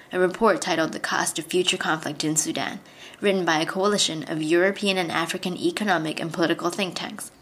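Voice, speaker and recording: A woman's voice: fairly low pitch, a bit bright. Woman reading scripted radio news. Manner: measured, formal newsreading. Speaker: radio reporter.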